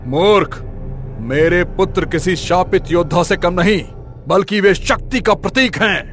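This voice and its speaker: A man playing a proud, anxious middle-aged king with a dramatic, regal, brooding delivery in an animated tale. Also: high voice, a little slow, faint music behind. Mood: indignant. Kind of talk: animated moral tale voice acting.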